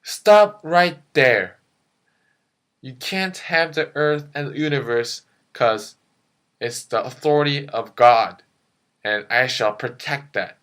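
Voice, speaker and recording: A performer voicing a solemn, stern guardian angel with halting speech in an amateur puppet show. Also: mid-range voice, a bit bright, clear, resonant tone.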